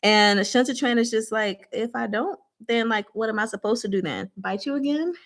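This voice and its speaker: A woman hosting a casual book discussion, retelling scenes in a giggly, animated, slangy way and acting out the dialogue. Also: fairly high pitch, moderate pace.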